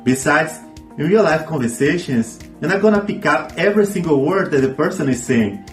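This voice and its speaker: A man's voice: fairly high pitch, expressive, a little fast. A man whose first language is Portuguese, presenting a scripted video lesson with earnest, friendly advice to his viewers. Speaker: language teacher YouTuber. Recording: echoey room with faint music.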